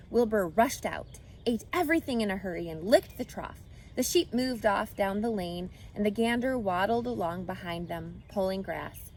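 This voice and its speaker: Animated woman reading a storybook. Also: medium pitch, slight background noise. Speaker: storybook narrator. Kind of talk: expressive children's book read-aloud.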